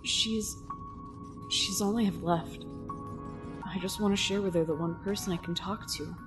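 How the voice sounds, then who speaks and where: A woman's voice: medium pitch, steady tone, measured pace, over music, natural voice. A woman playing an earnest, lonely young male cadet in a sci-fi drama, pleading with emotion. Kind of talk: sci-fi audio drama acting.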